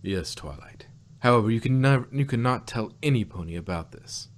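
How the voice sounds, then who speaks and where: A man voicing a solemn, ancient female pony ruler who confides secrets in a measured way, in an amateur fan-fiction reading. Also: medium pitch, expressive, close to an everyday voice. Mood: grave, secretive. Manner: measured, confessional storytelling.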